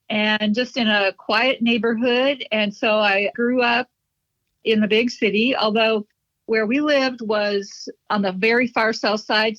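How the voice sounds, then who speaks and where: A middle-aged woman from Chicago talks through her memories as an interview guest, warm in a chatty, reminiscent way. Her voice sits at an ordinary pitch. The recording is dry and a bit dull, with little high end. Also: dry recording. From Chicago, USA. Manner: chatty, reminiscent, light laughs. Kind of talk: conversational interview storytelling. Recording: dull, slightly muffled, dry.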